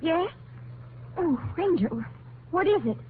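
A guarded, evasive young woman from an old radio serial. Her American voice is high and mobile, with a defensive, halting manner. The recording sounds tinny and slightly noisy. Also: animated, exaggerated voice. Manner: defensive, hesitant. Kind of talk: 1950s radio drama acting.

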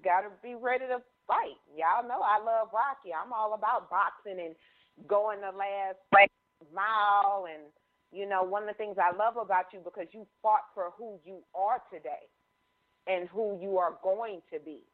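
A woman's voice: mid-range voice, normal speed. Upbeat woman hosting over a phone line. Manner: upbeat, encouraging, effusive. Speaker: host. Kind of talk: casual conference-call chat.

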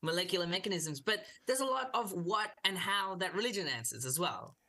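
Man, twenties, from India, bright voice, earnest, restarts often, laughs easily. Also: sits high, lively intonation, brisk. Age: 20s. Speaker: podcast guest, youth minister. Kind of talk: casual interview conversation.

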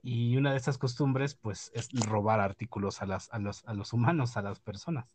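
A man's voice: mid-range voice, normal speed, darkish tone, a little hiss or hum. A man hosting a podcast, chatting casually about the news with many fillers and restarts. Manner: hesitant, many fillers and restarts, laughs at own remarks. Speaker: podcast host.

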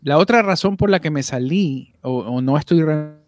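A man's voice: fairly high pitch, lively intonation, moderate pace, no echo, clean studio sound. A man, a voice actor guest on a podcast, talking candidly over a video call with frequent restarts. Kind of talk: casual podcast interview.

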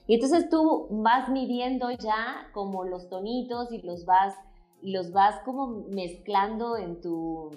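A playful, expressive woman with a Mexican Spanish accent hosts a relaxed chat, her pitch sitting in an ordinary middle range. Faint music plays softly behind her. She explains things warmly and with a light touch. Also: slow, poor audio. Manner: playful, expressive, calls people "corazón". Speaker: host. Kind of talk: casual talk-show chat.

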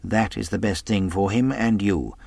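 A man's voice: fairly low pitch, moderate pace, vocal fry, darkish tone, husky, no room sound. A man doing a stern, blunt doctor. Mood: grave. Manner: firm, warning. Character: stern male country doctor, firm and blunt.

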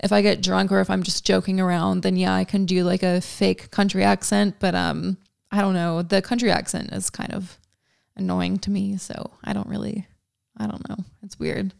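Young woman, from Georgia, no country accent, gravelly fry, smooth, casual podcast chat, ums and likes. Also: mid-range voice, flat delivery, normal speed, a little mellow, dry recording, clean studio sound. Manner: chatty, many ums and likes. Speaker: podcast guest.

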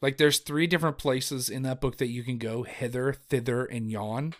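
A man co-hosting a casual podcast in a middle-range voice. He talks in an excited, rambling way, full of fillers and false starts. His sound is plain and everyday, with nothing unusual about it. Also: mid-range voice, moderate pace. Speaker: podcast co-host. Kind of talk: casual chat about tabletop games. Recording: lo-fi home audio.

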